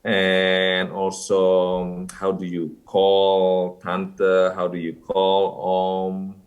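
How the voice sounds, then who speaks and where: A man from North Sumatra, a podcast guest, who answers slowly with many pauses and fillers. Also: sits low, completely flat delivery. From North Sumatra, Indonesia. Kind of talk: casual interview answers.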